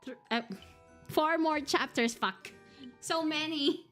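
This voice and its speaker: Giggly woman streamer reacting loudly. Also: high voice, slow, soft background music. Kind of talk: live game stream commentary and reading aloud.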